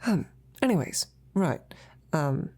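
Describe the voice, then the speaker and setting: Woman acting breathy, slow-spoken, teasing lady knight. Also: low voice, expressive, darkish tone, full character voice. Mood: amused, flustered. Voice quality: breathy, husky, often whispering. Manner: slow, flirtatious, teasing, courtly phrasing. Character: devoted, teasing female knight courting a dragon princess. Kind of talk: intimate roleplay audio, ASMR.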